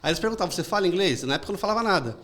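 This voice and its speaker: A man's voice: high-pitched, lively intonation, fairly bright tone. A man hosting an interview, chatting casually and telling anecdotes. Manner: fast, chatty storytelling with anecdotes. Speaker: podcast host.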